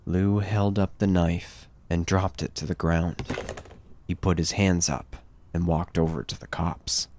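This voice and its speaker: A man's voice: sits low, level tone, unhurried, a little mellow, a little hiss or hum. A man reading scripted narration for a horror audio drama.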